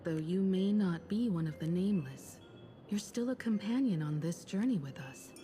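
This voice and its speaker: A woman's voice: fairly low pitch, animated, moderate pace, warm tone, soft background music, natural voice. A woman playing a poised, reassuring navigator in a video game, speaking politely and articulately.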